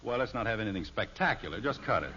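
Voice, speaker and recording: A man's voice: on the low side, animated, fast talker, bright, forceful tone, breathy edge, full character voice. Blustery, exasperated American sitcom father. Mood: wry. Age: middle-aged. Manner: blustery, dryly sarcastic. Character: exasperated middle-aged father, short-tempered yet wry. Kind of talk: 1950s radio sitcom acting. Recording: dull, noisy old radio recording.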